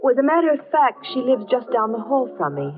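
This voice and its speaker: Demure actress on muffled radio. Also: female voice, a little high, sing-song, quick pace. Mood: earnest, confiding. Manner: polite, hesitant, demure. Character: genteel, coy young stage actress seeking help. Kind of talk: 1950s radio drama acting. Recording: muffled old radio broadcast with music.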